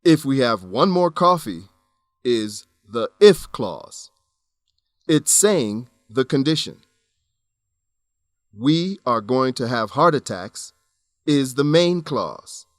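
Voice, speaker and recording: A man's voice: medium pitch, sing-song, takes it slowly, long pauses, no echo. Male English-lesson narrator, dry studio sound. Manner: instructional, clearly enunciated. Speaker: narrator of an English-teaching radio program. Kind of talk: scripted educational narration.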